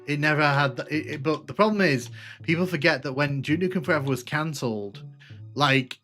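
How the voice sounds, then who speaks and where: A man's voice: a little high, pushed and bright, soft background music. Northern English man streaming, slight Liverpool twang. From north of England, UK. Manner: chatty, informal, halting with repeated phrases. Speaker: livestream host, gaming streamer. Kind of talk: casual live stream chat with viewers over gameplay.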